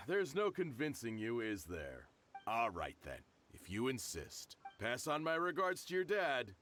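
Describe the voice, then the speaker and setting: Kindly townsman, animated, noisy backdrop. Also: mid-range voice, slow, heavily acted. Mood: resigned, warm. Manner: sighing, gently resigned. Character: kindly, reluctant, grateful male townsman. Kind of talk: video game voice acting.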